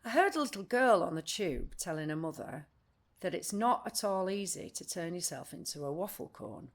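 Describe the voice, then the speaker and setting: A woman's voice: lowish voice, lively intonation, moderate pace. An actress playing a blunt, restless, literal-minded woman in audio play dialogue. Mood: matter-of-fact.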